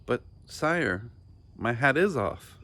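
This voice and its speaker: A man's voice: mid-range voice, very animated, slow, sharp tone, exaggerated voice. Man doing a timid, polite, bewildered young commoner. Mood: polite, nervous. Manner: meek, polite, earnest.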